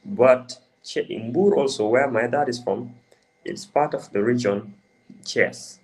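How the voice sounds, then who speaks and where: A young man from the Gambia, answering interview questions in a hesitant, halting way with many restarts. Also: mid-range voice, low-quality recording. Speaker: interview guest.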